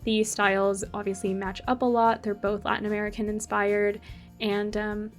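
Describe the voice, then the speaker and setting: A woman's voice: mid-range voice, little pitch movement, normal speed, soft background music. A young woman from Argentina, casually talking through a game build as channel host, rambling with many fillers and self-corrections. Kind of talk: casual build commentary over gameplay.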